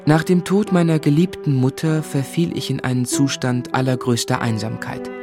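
An actor narrating as a grown man looking back on a lonely boyhood, in measured literary German radio drama style. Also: mid-range voice, steady tone, music in the background, lightly acted. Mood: reflective. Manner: reflective, measured storytelling.

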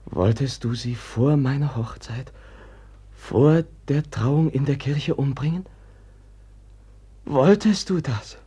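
An actor playing a gentle, sickly Russian prince in a German literary radio drama, halting and solemn, with a husky, breathy, shaken delivery. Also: male voice, mid-range voice. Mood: trembling, urgent.

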